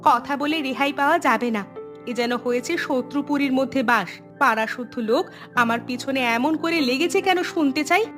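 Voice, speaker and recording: A woman's voice: sits high, brisk, a bit bright, soft background music. A woman voicing an aggrieved, quarrelsome young wife in a village cartoon, arguing and complaining. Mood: indignant. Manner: complaining, argumentative. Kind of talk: Bengali moral-tale cartoon dubbing.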